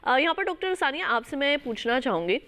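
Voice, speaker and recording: A woman's voice: high-pitched, big pitch swings, fast, pushed and bright. A woman hosting a health phone-in show, putting callers' questions to doctors with polite short acknowledgements. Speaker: host.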